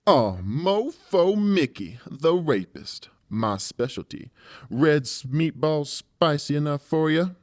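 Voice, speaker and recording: A man's voice: on the high side, animated, takes it slowly, a little mellow, no echo, clean studio sound. A man playing a large, theatrical, sadistic professional torturer in a dramatized audiobook. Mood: taunting glee. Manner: slangy, showy and taunting. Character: large, gleefully sadistic professional torturer who plays the comedian. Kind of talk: dramatized audiobook character acting.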